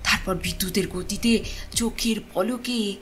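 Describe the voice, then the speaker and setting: A woman's voice: mid-range voice, steady tone, measured pace, a bit bright, natural voice. A woman playing an earnest village man in an audio story, an awed eyewitness speaking with emphatic insistence. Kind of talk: dramatised dialogue in audio story. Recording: clear background noise and ambience.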